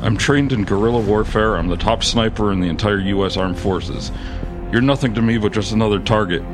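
A man's voice: fairly low pitch, brisk, music in the background, natural voice. A man playing an enraged, boastful Navy SEAL sniper in a pompous, mock-dramatic threatening monologue. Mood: menacing, boastful. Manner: menacing, pompous dramatic recitation.

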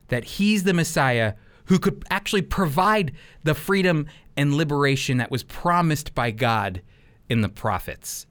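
Animated, emphatic male Bible teacher. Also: fairly high pitch. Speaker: Bible teacher on a radio program. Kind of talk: sermon-like teaching talk.

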